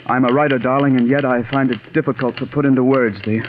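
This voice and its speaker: A man's voice: fairly even intonation, fast, lightly acted. Nervous, earnest American writer, early thirties, played by a male actor, old radio drama, tinny and noisy recording. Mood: troubled, confessional. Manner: earnest, high-strung. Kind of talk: 1940s radio drama acting.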